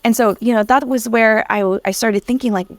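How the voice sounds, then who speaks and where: A woman's voice: a little high, a little fast, no echo. Candid woman guest, from Vietnam. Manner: candid, lively, laughs while recalling. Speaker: podcast guest. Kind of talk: personal storytelling in an interview. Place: Vietnamese-born guest, raised in America.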